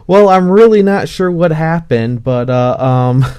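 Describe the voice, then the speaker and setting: A man's voice: on the high side, lively intonation, unhurried, darkish tone, clean tone. A man hosting a casual interview over an online video call, with informal, somewhat hesitant phrasing. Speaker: host. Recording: dull lo-fi internet call audio.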